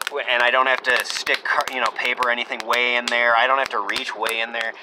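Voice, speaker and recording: Young male homesteader, casual vlog rambling, even-toned, noisy camera audio. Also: mid-range voice, quick pace. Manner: rambling, lots of fillers, self-described monotone. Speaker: homesteader vlogger. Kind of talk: casual off-grid build vlog. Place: half-built earthship and outdoor rooftop.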